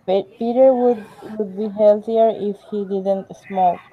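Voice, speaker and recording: A woman's voice: mid-range voice, fairly even intonation. Woman student on a tinny phone line. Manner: slow, careful reading. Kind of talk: reading sentences aloud in class. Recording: tinny phone-like call audio.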